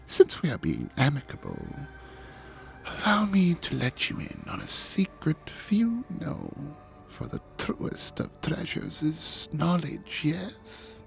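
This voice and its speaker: A man playing an ancient, vain dragon god in an audio drama, pompous and mock-courteous, with a theatrical drawl that sinks into breathy murmurs. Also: fairly high pitch, fairly bright tone, full character voice. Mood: silky, coaxing. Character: ancient vain male dragon god, suave, hypnotic, menacing host. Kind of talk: scripted audio drama monologue addressed to the listener.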